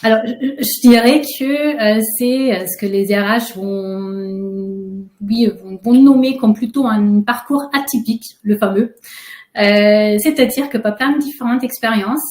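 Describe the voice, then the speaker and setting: Austrian woman, German native, chatty guest on an echoey video call, mid pitch. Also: measured pace. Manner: relaxed, chatty storytelling with frequent fillers. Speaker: alumna guest. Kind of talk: webinar interview.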